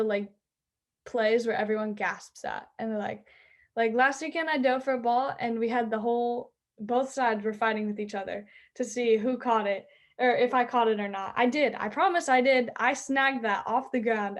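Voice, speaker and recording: A teenage girl who plays high school softball, chatting eagerly in an interview with lots of fillers. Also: steady tone, normal speed. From Southern California. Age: teens. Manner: bubbly, chatty, many "like" and "um" fillers. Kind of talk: interview answers.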